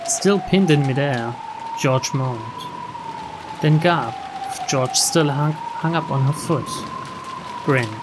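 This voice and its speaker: A man reading dramatic scripted narration from a visual novel, with loud game music behind him. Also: on the high side, slow pace, background noise. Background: loud background music.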